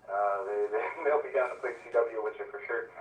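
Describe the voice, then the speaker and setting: A man's voice: lowish voice, fairly even intonation, fairly quick. A man calling in as an amateur radio operator, chatting casually over a radio link. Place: over an internet-linked ham radio connection. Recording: narrow phone-like radio link, roomy, distant.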